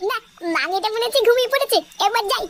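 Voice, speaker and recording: Cartoon village rascal: tiny helium-high voice, fast talk, cocky, whiny and foul-mouthed. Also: male voice, over music. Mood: scheming, grumbling. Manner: whining, crude, boastful. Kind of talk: comic cartoon dialogue.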